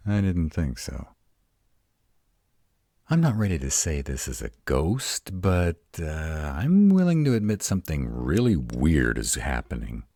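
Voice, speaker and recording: A man playing a grumpy, protective were-dragon in a roleplay audio, gruff and exasperated, rattled but defiant. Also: very deep voice, very animated, mellow, dry recording, studio quality, full character voice. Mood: rattled, uneasy. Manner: gruff, exasperated, halting one-sided dialogue.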